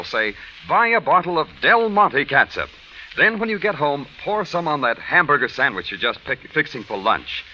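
A man's voice: a little high, pushed and bright, a little hiss or hum. A man announcing and selling in polished, emphatic 1940s radio commercial style. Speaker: radio announcer reading sponsor ads. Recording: muffled vintage radio recording.

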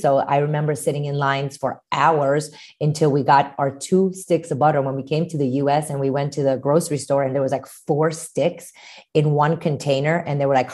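Woman in her 50s from Romania, pediatrician guest, low and mellow voice, chatty storyteller. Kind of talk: interview storytelling.

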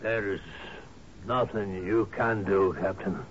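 An actor in a radio drama playing a grieving, reserved houseguest who speaks in a solemn, terse, polite way. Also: male voice, low-pitched, steady tone, slow pace. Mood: grave, subdued. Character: grave, grieving, reserved male houseguest on island. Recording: muffled, hissy old radio broadcast.

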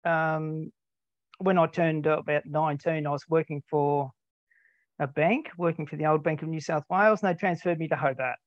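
A man's voice: on the high side, fairly even intonation, moderate pace, no echo. Older Australian man, guest, meandering and modest, dry muffled audio. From Tasmania, Australia. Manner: rambling, self-deprecating, many ums. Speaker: interview guest. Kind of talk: casual conversational storytelling. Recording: dull, dry lo-fi sound.

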